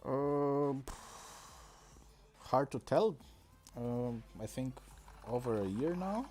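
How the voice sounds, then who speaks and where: A man from Romania, streaming a mobile game in casual, halting commentary full of pauses and fillers, on a desk microphone. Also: mid-range voice, expressive, darkish tone, a little hiss or hum.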